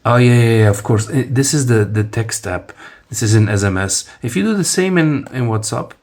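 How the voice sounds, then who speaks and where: A man's voice: medium pitch, animated, moderate pace, a little mellow. A man whose first language is Dutch, casually reviewing a phone while he demonstrates it, with many restarts and fillers. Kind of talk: casual hands-on product review.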